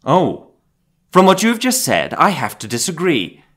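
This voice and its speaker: Casting: male actor as domineering, combative mayor for audio drama; forceful delivery, animated swings. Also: on the high side, unhurried, slightly rough, heavily acted. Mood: scornful. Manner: lecturing, domineering. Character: pompous, combative male big-city mayor. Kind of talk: fan-made audio drama acting.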